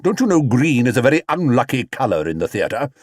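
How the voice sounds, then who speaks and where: A man playing a pompous, booming grand stage actor who speaks dramatically and gruffly in a storybook reading. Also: sing-song, a little fast, no echo, clean studio sound. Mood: theatrical.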